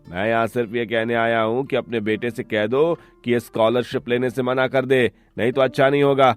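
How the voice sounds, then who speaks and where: A man's voice: medium pitch, steady tone, fairly quick, clear, resonant tone, soft background music, close to an everyday voice. A man voicing a greedy, hot-tempered village labourer, a menacing and sly villain in a cartoon story. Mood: angry, threatening.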